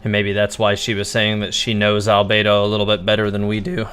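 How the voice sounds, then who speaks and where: A man gives casual live commentary as a game streamer. His voice sits fairly low and he thinks aloud, hesitating as he speculates. Soft music plays in the background. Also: completely flat delivery, fairly quick, clean tone. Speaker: game livestreamer.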